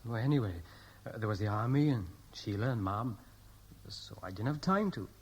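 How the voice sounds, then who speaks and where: A man's voice: on the low side, normal speed, slightly rough. An actor playing an eager, dutiful, unworldly Welsh groom in his early twenties, boyish and hesitant, in a 1960s radio drama. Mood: hesitant, wistful. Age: young adult.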